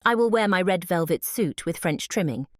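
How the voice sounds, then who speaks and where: A woman's voice: lowish voice, animated, normal speed, dry recording, voice pushed up, close to an everyday voice. A snooty young British stepsister, played by a woman narrator in a fairy tale audiobook. She is haughty and taunting, recorded very dry. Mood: boastful. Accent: British English. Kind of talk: storybook audiobook acting.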